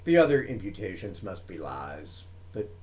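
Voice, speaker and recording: A man's voice: sits low, very animated, moderate pace, darkish tone, voice pushed down. A man voicing a learned, troubled ancient physician in a dramatic poem, speaking in a formal, pensive, emphatic manner. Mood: grave.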